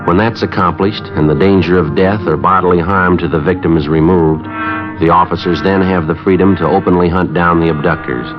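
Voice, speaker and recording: Low, deadpan radio detective played by a man. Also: a little fast, close to an everyday voice. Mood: matter-of-fact. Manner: terse, matter-of-fact, deadpan. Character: stoic male police detective narrating his case. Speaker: lead actor and narrator of a police radio drama. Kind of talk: 1950s radio acting, clipped scripted narration. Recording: muffled vintage 1950s radio recording with music.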